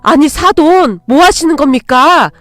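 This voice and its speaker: A woman's voice: high-pitched, lively intonation, a little slow, fairly bright tone, dry recording. A woman voicing a protective older mother-in-law in a dramatized story, with a folksy, old-fashioned elder's way of speaking. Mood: shocked. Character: fierce, protective older mother-in-law, tender with her own.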